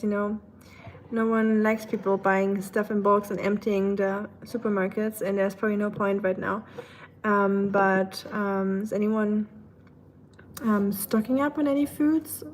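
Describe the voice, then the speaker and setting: A woman with a German accent chatting casually to livestream viewers, thinking aloud in a rambling way with dry humor. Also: medium pitch. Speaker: livestream host. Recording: clear background ambient noise.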